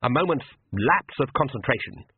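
Man as arrogant vampire count, pompous, lecturing, husky, animated, storybook reading, thin narrow-band audio. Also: medium pitch, moderate pace, no echo. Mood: smug calm. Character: vain, domineering male vampire count, an arrogant father.

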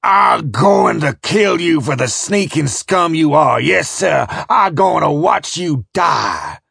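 Furious southern husband for an audiobook, high raspy yelling, snarly threats, out for revenge. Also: male voice, animated, takes it slowly, no room sound, clean studio sound, exaggerated voice. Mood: vengeful fury. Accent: American Southern. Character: enraged, vengeful wronged husband, American Southern backwoodsman.

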